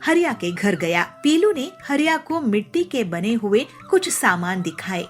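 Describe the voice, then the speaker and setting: A woman's voice: a little high, big pitch swings, a little slow, faint music behind. A woman narrating a scripted children's story as the storyteller.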